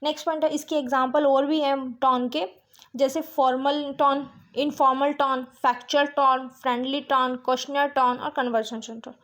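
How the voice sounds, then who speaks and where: A woman's voice: high voice, level tone, normal speed, a bit bright. A woman with an Indian accent teaching a lesson, reading out points and explaining them with frequent little check-in phrases. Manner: mixes Hindi with English terms, often checks understanding with tag phrases.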